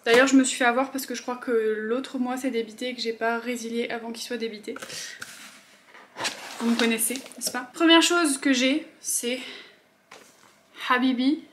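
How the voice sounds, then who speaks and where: A young woman chatting casually as she unboxes beauty products at home, with quick asides and murmured afterthoughts. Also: fairly high pitch, fairly even intonation, fairly bright tone, a little hiss or hum. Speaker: beauty vlogger.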